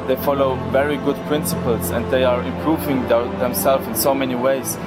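A man's voice: medium pitch, level tone, brisk, loud music behind the voice, poor audio. A young man in his late teens from Austria, giving earnest answers in an interview.

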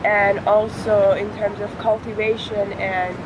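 A woman's voice: medium pitch, flat delivery, a little slow. A woman of twenty-one from Iran, answering questions in an interview. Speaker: interviewee, bike tour participant. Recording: clear outdoor ambient noise.

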